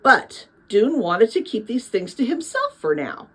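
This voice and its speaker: Female storybook narrator, higher register, animated intonation. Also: unhurried, husky. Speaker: audiobook reader. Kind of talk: storybook read-aloud narration.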